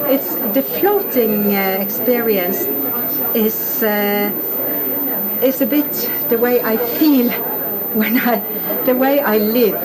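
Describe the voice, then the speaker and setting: A woman's voice: fairly high pitch, rough recording. A woman from Norway with a Norwegian accent, a textile artist talking thoughtfully and hesitantly about her work, with many small fillers. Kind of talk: reflective interview about her art. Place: indoor exhibition space. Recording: loud crowd chatter behind. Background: crowd of visitors talking.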